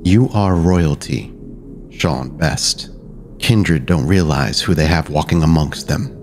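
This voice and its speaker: A man voicing a dead vampire sire, a patronising, manipulative father figure who speaks formally, with measured, silky persuasion. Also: rumbling low, darkish tone, soft background music, voice pushed down, heavily acted. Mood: silky, coaxing.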